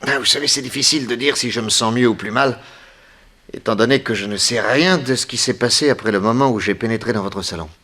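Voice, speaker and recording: An actor in a 1960s French radio drama playing a wry, battered detective who questions everything in a theatrical manner. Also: male voice, mid-range voice, fast, sharp tone. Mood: suspicious. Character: wry, battered male detective held captive.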